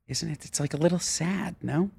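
Animated man complaining playfully. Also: fairly high pitch, normal speed, vocal fry, slightly rough. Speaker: podcast co-host. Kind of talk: casual chat.

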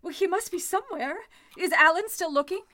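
Woman acting anxious high-voiced radio heroine. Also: brisk, pushed and bright. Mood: worried. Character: Meredith, anxious young woman in a thriller. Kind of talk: old-time radio drama acting.

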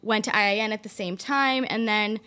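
A young woman with a Canadian accent, a guest telling her career story in a casual podcast chat, quick and full of likes and ums. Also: medium pitch, fairly even intonation, sharp tone, clear, resonant tone. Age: young adult. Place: indoor studio in a building.

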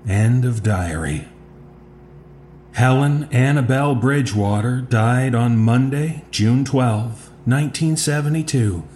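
An actor playing an uneasy archivist recording case notes in a horror audio drama, measured and matter-of-fact with small hesitations. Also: male voice, fairly low pitch, a little mellow, a little hiss or hum. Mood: somber.

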